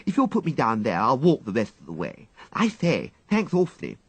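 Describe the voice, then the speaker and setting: A man's voice: mid-range voice, wildly swooping pitch, fairly quick, husky, dry recording, voice pushed up, full character voice. A man voicing a twelve-year-old English schoolgirl in a storybook, cheeky and gushing in her chatter. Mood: pleased.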